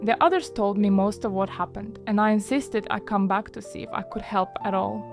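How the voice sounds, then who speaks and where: A woman playing a formal, forgiving female war veteran in an audio drama, speaking in a measured way. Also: medium pitch, vocal fry, over music, lightly acted. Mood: solemn, gracious. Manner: formal, measured, few contractions.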